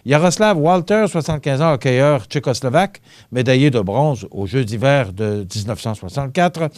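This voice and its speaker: A man's voice: mid-range voice, moderate pace. A man with a Québécois French accent hosting a local TV morning show, reading birthdays and interviewing a guest in a store kitchen. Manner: lively, stumbles over names and jokes about it.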